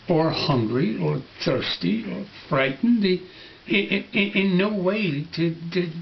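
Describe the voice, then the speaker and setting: A man reading a storybook dramatically, in a halting, musing, stammering manner. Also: a little high, gravelly. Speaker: storybook reader. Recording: dull home recording with faint hiss.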